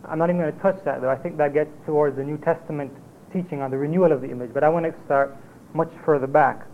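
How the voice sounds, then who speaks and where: A man with a Jamaican accent presenting an academic paper at a conference, in a measured lecturing manner with occasional hesitations. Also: on the high side, steady tone. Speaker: conference speaker. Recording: tinny phone-like lo-fi recording with faint hiss.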